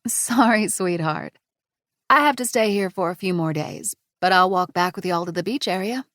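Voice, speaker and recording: A woman's voice: on the low side, moderate pace, fairly bright tone, no room sound, studio quality, natural voice. A woman voicing a protective Texan father, friendly and relieved, with a drawl.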